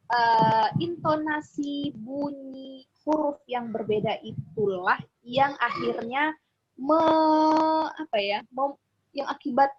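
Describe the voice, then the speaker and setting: A woman with a husky voice, teaching informally as a religious teacher and often checking that she is followed. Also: high voice. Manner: conversational, often checking for agreement. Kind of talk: informal explanatory online lesson. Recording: dull lo-fi audio.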